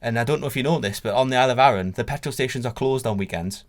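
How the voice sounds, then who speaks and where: A man's voice: medium pitch, very fast, a little hiss or hum. A man, co-hosting a podcast, swearing and bantering in casual chat. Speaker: co-host.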